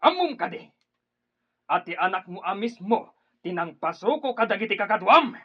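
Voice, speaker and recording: Casting: a righteous war chief, husky with animated swings in pitch, emphatic, for radio drama. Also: male voice, normal speed, dry recording, muffled recording. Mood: angry challenge. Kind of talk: Ilocano radio drama acting.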